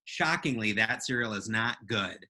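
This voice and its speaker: A woman's voice: a bass voice, animated, unhurried, bright, forceful tone. A woman co-hosting a casual live podcast chat in a playful way. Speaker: podcast co-host.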